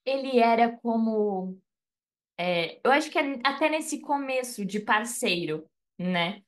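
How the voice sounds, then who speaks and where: A woman interviewer hosting the conversation and asking gentle, probing questions. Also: on the high side, expressive, takes it slowly. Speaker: interviewer, host.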